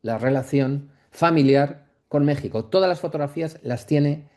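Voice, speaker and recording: A man giving an academic lecture off the cuff, reflective and halting, often checking in with short question tags. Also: a little high, darkish tone. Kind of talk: masterclass lecture, speaking off the cuff.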